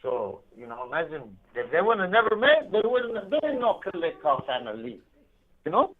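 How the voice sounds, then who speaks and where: A man's voice: fairly high pitch, sing-song, moderate pace. A man on a phone line, chatting casually in slang and switching between two languages. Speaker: phone caller from prison. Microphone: telephone. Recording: tinny, band-limited prison phone line.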